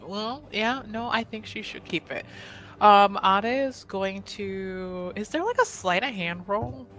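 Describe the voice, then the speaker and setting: A woman's voice: mid-range voice, slow pace, fairly bright tone, soft background music. A woman playing a tabletop roleplaying game, chatting informally and thinking aloud about her choices. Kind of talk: casual actual-play chat.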